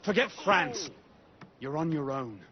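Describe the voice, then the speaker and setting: A cynical male adventurer, fairly high in pitch and expressive, curt and dismissive with world-weary disillusion. The game audio is muffled and noisy. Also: a little slow. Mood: bitterly dismissive. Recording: muffled game capture, clear noise.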